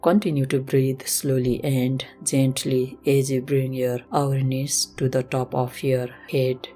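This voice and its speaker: Very low-voiced woman guiding meditation. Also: level tone. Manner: gentle, measured, instructional. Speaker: yoga and meditation instructor. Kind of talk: slow guided meditation. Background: music.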